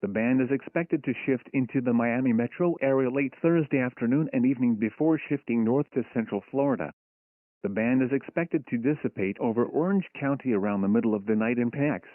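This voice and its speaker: A synthetic male voice reading a scripted emergency weather bulletin in a formal, impersonal, measured way. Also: medium pitch, dry recording. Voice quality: synthetic text-to-speech voice. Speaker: automated weather alert announcer. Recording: tinny phone-like weather radio broadcast.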